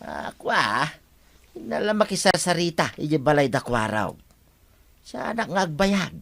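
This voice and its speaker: An actor playing a smug, smooth-talking philandering husband in an Ilocano-accented radio comedy drama. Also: male voice, on the high side, big pitch swings, moderate pace, a bit bright, exaggerated voice. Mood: coaxing.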